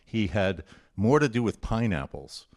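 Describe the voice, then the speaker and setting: A man with a fairly low voice hosts a guessing quiz for a group. He reads quotations out deliberately and adds informal, conversational commentary as he goes. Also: very animated, darkish tone. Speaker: presenter of a quote guessing quiz.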